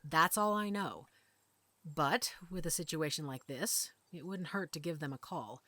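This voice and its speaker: A woman plays a werewolf mother in charge of her pack in an audio roleplay. Her voice sits fairly low and is recorded dry. She gives orders calmly, with a protective firmness. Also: measured pace, dry recording, full character voice. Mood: wary, serious. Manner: calm, commanding, protective.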